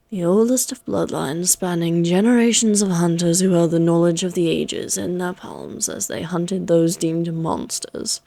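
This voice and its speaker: Woman acting a slow, taunting, elegant vampire lady. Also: on the low side, dark tone, dry recording. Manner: slow, poised, archly formal, teasing. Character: elegant, taunting female vampire, amused and condescending, Victorian fantasy. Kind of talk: scripted roleplay audio drama monologue.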